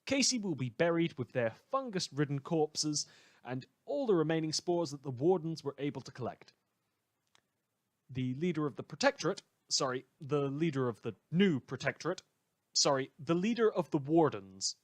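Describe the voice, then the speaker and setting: A man's voice: on the high side, animated, moderate pace, dry recording, natural voice. A man playing a sardonic radio host in a superhero world, delivering scripted satirical news with dramatic, sarcastic emphasis. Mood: wry.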